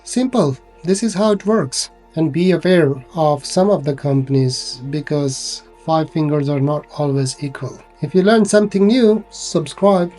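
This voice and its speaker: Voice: mid-range voice, unhurried, few pauses, warm tone. Informal app tutorial presenter over music. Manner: informal, instructional.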